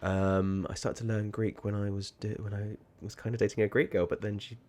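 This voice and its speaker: A man's voice: lowish voice, flat delivery, brisk, darkish tone. A man chatting casually while streaming a game, rambling and sweary, with sudden startled outbursts. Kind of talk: casual livestream chat.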